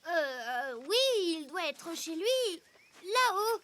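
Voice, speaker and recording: A performer voicing an eager, tender-hearted young animal boy in a French children's cartoon, with childlike, excitable delivery that turns hesitant when unsure. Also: very high voice, slow, full character voice. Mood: subdued. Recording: loud music behind. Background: loud cartoon music.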